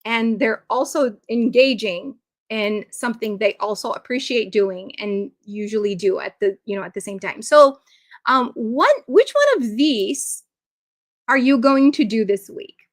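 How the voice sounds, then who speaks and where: A fairly high-voiced woman from Iraq gives a live webinar for parents over a computer mic. She talks in a conversational, encouraging way, pausing often on ums and uhs. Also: animated. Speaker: webinar presenter.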